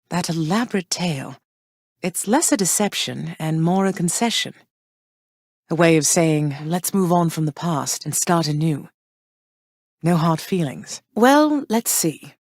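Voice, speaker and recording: An actress voicing a gentle, regal queen who speaks to her son in a warm and measured way. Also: deep voice, no echo, heavily acted. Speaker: game character. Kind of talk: studio game voice lines. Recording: dry studio recording.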